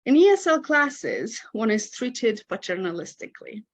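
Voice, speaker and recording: A woman's voice: a little high, big pitch swings, moderate pace. A woman in her thirties from Moldova with a Moldovan accent, whose first language is Romanian, giving a reflective, prepared academic conference talk about her own life. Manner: reflective, reads a prepared personal account. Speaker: conference presenter. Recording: dull lo-fi recording.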